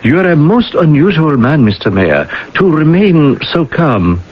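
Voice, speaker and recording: An actor playing a pompous, self-serious traveling professor with formal diction in a radio drama. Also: male voice, a little high, big pitch swings, unhurried. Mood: smooth, solemn. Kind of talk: 1980s radio drama acting. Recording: dull, hissy old radio broadcast.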